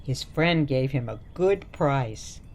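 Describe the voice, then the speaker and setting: A woman's voice: a bass voice, animated, takes it slowly, a little mellow, a little hiss or hum, full character voice. A woman playing a sweet, doting grandmother in a radio play, gushing and cutesy in manner. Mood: sweetly explaining.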